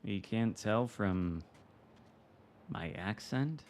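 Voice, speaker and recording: A man from Michigan with an American accent, commenting casually while streaming a game from home, wry and relaxed. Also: fairly low pitch, drawn-out words, a little hiss or hum. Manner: relaxed, wry, reacting to the game with mild swearing. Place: home room.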